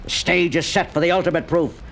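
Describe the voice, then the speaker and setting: An actor playing a pompous, megalomaniac stage magician villain in a 1970s radio play, grandiose and theatrical. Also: male voice, a little high, steady tone, brisk, vocal fry, a bit bright. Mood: menacing. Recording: faint hiss of old vinyl.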